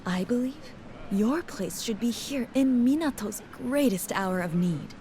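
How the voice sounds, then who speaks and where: A woman's voice: fairly high pitch, animated, mellow, slight background noise. An actress playing a composed, stern, philosophical female merchant in a fantasy game, speaking formally. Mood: composed, advising. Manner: formal, measured, sententious. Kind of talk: video game cutscene acting.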